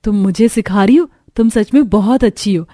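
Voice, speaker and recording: A woman voicing a gentle, hardworking elder daughter-in-law in a cartoon story, earnest and soft-spoken. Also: medium pitch, normal speed, dry recording, clean studio sound, natural voice. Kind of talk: Hindi cartoon story dialogue.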